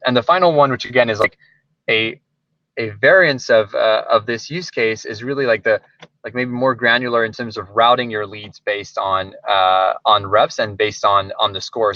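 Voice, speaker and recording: Male webinar presenter, informal and hesitant, crisp-edged bright tone, close dry sound on slightly lo-fi audio. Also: fairly low pitch, no room sound. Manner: informal, hesitant phrasing with frequent "like". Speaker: software company presenter. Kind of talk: webinar presentation, explanatory talk.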